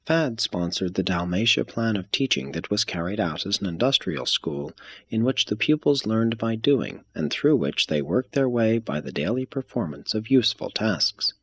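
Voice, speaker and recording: A man reading a religious text aloud as scripted narration, in a measured, formal manner. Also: fairly low pitch, lively intonation, a bit bright, slightly rough. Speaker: reader.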